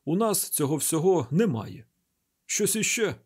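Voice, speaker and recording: A man plays an exacting male forensic doctor from an audiobook crime novel. The voice is pitched up and husky, with formal, precise, lecturing delivery and a testy edge. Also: big pitch swings, measured pace, heavily acted. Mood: controlled, explanatory. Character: exacting, testy male chief medical examiner.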